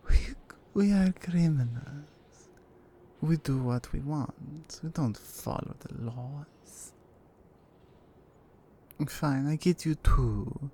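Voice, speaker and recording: A woman voicing a seductive, menacing Russian crime family member with a Russian accent, teasing and commanding in an intimate ASMR roleplay, her breathy murmur sliding into whispers. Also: sits low, mellow, a little hiss or hum, full character voice. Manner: slow, deliberate, dominant and teasing.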